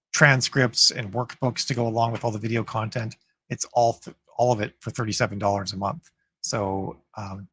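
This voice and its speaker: A man hosting a writers' livestream in an upbeat, chatty way, full of fillers and restarts, his voice getting raspy from long talking. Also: medium pitch, fairly bright tone, no room sound. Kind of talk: casual livestream chat answering viewer comments.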